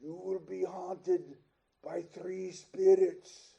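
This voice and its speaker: A man's voice: high-pitched, level tone, extremely slow, vocal fry, dark tone, muffled recording, exaggerated voice. An actor playing the tormented, mournful ghost of a dead businessman in a 1930s-style radio play, declaiming solemnly and wailing. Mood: grave warning.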